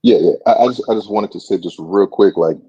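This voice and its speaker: A man moderating a discussion panel, reacting in a halting, stammering way. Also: lowish voice, dark tone, husky, dry recording, highs cut off.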